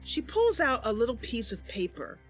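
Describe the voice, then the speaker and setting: A woman's voice: on the high side, big pitch swings, moderate pace, faint music behind. A woman streamer narrating a roleplay story and casually commenting on the game she is playing.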